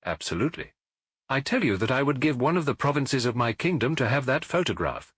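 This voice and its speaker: A man's voice: medium pitch, sing-song, a little fast, a bit bright, slightly rough, no room sound, pitched above the performer's own voice. A man voicing a proud, anxious foreign king in a storybook, speaking with grand emphasis. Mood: anxious, emphatic. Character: imperious, anxious Bohemian king, intense and proud.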